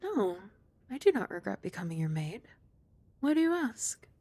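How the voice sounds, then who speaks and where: A young woman performs a poised young maid who speaks in formal, courteous phrases and slips in dry, gentle teasing. Her voice is mellow and dark with a gravelly fry running through it. It is recorded close and dry, with no room sound at all. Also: medium pitch, very animated, moderate pace, dry recording. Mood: calm. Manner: soft-spoken, dropping to whispers at times. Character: composed, dryly teasing young female maid and childhood friend. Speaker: ASMR roleplay performer. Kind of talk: ASMR roleplay.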